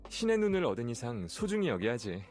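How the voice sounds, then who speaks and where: An actor playing a friendly, courteous young manservant who loves animals, in scripted game voice lines. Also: male voice, medium pitch, very animated, racing, darkish tone, soft background music, lightly acted. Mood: polite, earnest.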